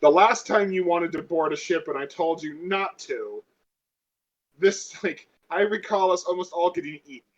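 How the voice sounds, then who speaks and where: High-voiced man on a dull microphone. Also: normal speed. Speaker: tabletop role-playing game participant. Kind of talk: casual game talk.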